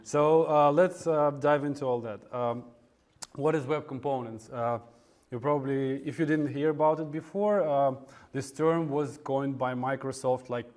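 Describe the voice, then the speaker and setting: A man from Russia with a Russian accent giving an informal technical talk at a meetup, in a halting, hesitant way with frequent pauses. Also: medium pitch, darkish tone. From Russia (Moscow). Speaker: conference speaker, web developer. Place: indoor meetup room in front of an audience.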